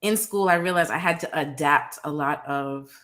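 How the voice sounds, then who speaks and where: A woman from New York, a psychologist guest on a video call, calm and measured with frequent pauses. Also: sits low. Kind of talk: interview over video call.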